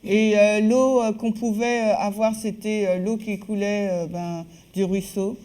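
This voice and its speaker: A woman working as a live interpreter, speaking in halting phrases with frequent hesitations and restarts. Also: medium pitch, fairly even intonation, mellow. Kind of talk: consecutive spoken translation of a talk.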